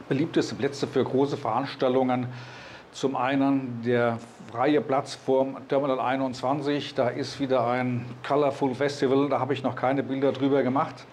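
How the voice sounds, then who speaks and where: A man's voice: mid-range voice, little pitch movement, normal speed, a little mellow, a little hiss or hum. A man, presenting and narrating a vlog. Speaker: vlogger.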